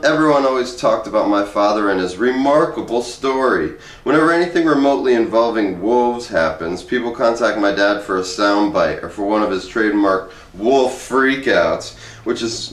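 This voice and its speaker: Low-voiced man in an echoey room, some distance away. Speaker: host reading aloud from a book. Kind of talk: casual chat and read-aloud of a humorous essay. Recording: roomy echo, distant from the mic.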